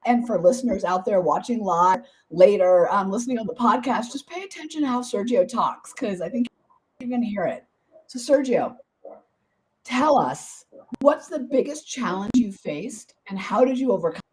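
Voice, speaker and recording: Woman, podcast host, hoarse, enthusiastic, chatty, medium pitch. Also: animated, moderate pace, poor audio. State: hoarse, voice partly gone. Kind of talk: podcast interview.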